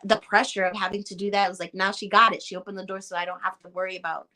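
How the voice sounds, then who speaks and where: A woman with a Chicago Puerto Rican accent speaks as a guest in a casual conversation. Her voice is bright and ringing, and she talks with passion and emphasis. She acts out the reactions she quotes. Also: medium pitch, level tone, very fast, rough recording. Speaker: actress guest.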